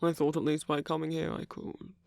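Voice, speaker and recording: A man playing a gentle, nervous king in an intimate ASMR roleplay, speaking formally and earnestly with soft hesitations. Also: on the high side, monotone, brisk, creaky, dry recording. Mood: candid, hesitant. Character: gentle, nervous king confessing love to a prince.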